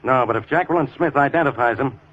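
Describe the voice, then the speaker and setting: Actor as a gruff, blustering radio inspector. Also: male voice, mid-range voice, fast, a bit bright, close to an everyday voice. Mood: threatening. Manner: threatening. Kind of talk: 1940s radio acting. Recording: muffled, hissy 1940s radio recording.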